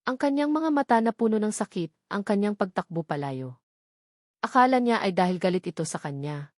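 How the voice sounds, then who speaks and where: A woman's voice: medium pitch, expressive, a little fast, no echo, clean studio sound. A Filipino woman reading a scripted romance story as a dramatic narrator.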